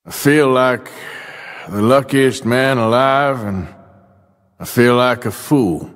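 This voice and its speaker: A man playing a weary, gruff outlaw cowboy with a dry Western American drawl in a video game cutscene. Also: medium pitch, slow, no echo, natural voice. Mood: subdued, reflective. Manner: dry, plain-spoken drawl. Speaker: game voice actor.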